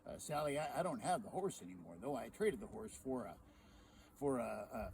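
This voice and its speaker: A sheepish farmer husband stammers and hesitates, as a man plays him. His pitch moves a lot. The recording is noisy. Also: very animated, a little fast, vocal fry, darkish tone.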